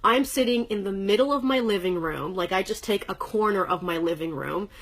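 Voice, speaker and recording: A chatty, upbeat woman in her thirties from Canada, speaking from a corner of her living room in a casual livestream. She talks straight to her viewers with a mid-range voice, greets commenters by name and fills pauses with plenty of ums. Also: medium pitch. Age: 30s. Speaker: direct sales presenter.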